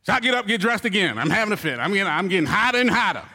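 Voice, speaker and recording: A pastor delivers a sermon in a high, bright voice, acting out his story with loud comic cries and quick asides to the listeners. His voice rings through a church hall with a long reverb. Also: very animated.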